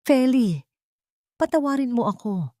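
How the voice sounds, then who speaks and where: A woman's voice: high-pitched, very animated, measured pace, warm tone, dry recording, voice pushed up. A remorseful, wealthy Filipino father begs forgiveness in an audiobook drama, acted out by a woman. The delivery is solemn and pleading, in a dry recording.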